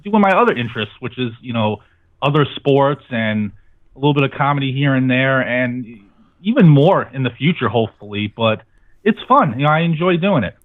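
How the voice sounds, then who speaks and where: A man's voice: mid-range voice, expressive, brisk. A man speaking as an interview guest in casual, talkative, informal conversation. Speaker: podcast network owner, guest. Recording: tinny phone-like line.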